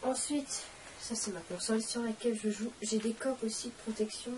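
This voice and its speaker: A woman's voice: on the high side, fairly even intonation, fairly quick, distant mic, very noisy. A teenage girl with a cold, chatting in a rambling, self-mocking way while hosting a casual question-and-answer vlog. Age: teens. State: has a cold, coughing. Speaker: channel host.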